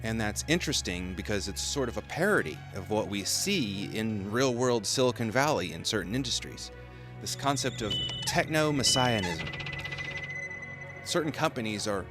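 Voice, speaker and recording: A man delivering scripted video-essay commentary with casual asides. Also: lowish voice.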